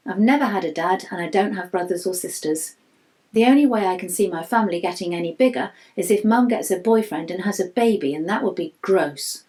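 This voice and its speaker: A woman's voice: fairly low pitch, mellow, close to an everyday voice. A woman voicing an anxious, candid schoolboy reading out his diary in a chatty, confiding way for a children's audiobook. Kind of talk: first-person children's audiobook narration.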